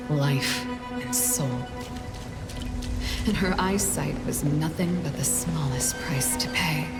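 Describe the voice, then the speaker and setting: An actress playing a guarded, brooding female assassin with a cool, measured delivery in a game. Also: low-pitched. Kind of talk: game character dialogue and solemn monologue.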